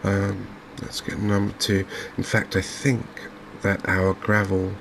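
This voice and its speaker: A soft-spoken man giving unhurried ASMR commentary on a video game. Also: on the low side, monotone, creaky, noisy background. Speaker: gaming video host.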